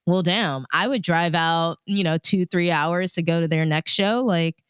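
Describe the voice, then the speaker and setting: Smooth, lower-voiced American woman in casual chat. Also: unhurried, no room sound, studio quality. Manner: animated, informal, frequent "like" and "you know". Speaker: radio DJ and music supervisor, interview guest.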